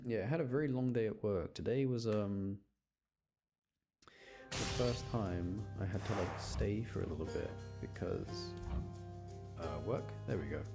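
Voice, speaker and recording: A man's voice: fairly low pitch, unhurried, long pauses. A man chatting casually on a game livestream, rambling along and addressing his viewers. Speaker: streamer. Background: game music.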